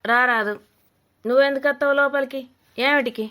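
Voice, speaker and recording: A woman's voice: sits high, fairly even intonation, a little fast, pushed and bright. A woman playing a blunt, nosy older village mother-in-law with a Telangana Telugu accent, scolding and mocking in a comedy cartoon. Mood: suspicious, brusque.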